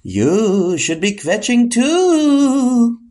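A spooky villain from a children's audio comedy. The voice sits high and whines theatrically, stretching words slowly as it urges everyone to complain. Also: male voice, very animated, a little mellow, exaggerated voice. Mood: spooky urging. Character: eerie whining villain who urges constant complaining.

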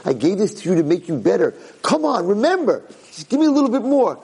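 Man as an urgent old guardian, slightly raised, gravelly. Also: a little high, animated, fast talker, mellow, pitched above the performer's own voice, exaggerated voice. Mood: urgent coaxing. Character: patient old man, urgent guardian coaxing a lost prince.

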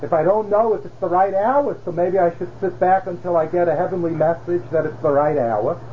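A man's voice: high-pitched, a little fast, slight background noise. A man teaching a religious class, explaining patiently and checking in with rhetorical questions. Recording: dull lo-fi class recording.

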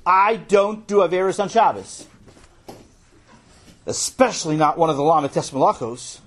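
A man plays a possessive little boy in a children's story, raised in pitch and husky. He is stubborn and indignant, whining his loud protests with big swings. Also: very animated, unhurried, heavily acted.

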